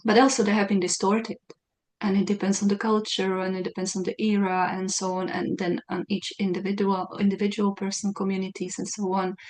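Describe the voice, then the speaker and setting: A woman hosts a relaxed conversation over a video call, her voice at an ordinary middle pitch. She talks in an animated way, often restarting her sentences as she reaches for exactly the right word. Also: fairly quick, rough recording. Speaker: podcast host. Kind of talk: casual conversation.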